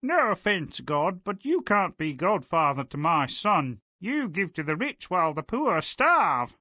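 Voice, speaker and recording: A man's voice: high-pitched, sing-song, a little slow, pushed and bright, no room sound, studio quality, heavily acted. A man playing a brash, hapless poor father in a fairy-tale video game, whining and theatrical. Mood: blunt.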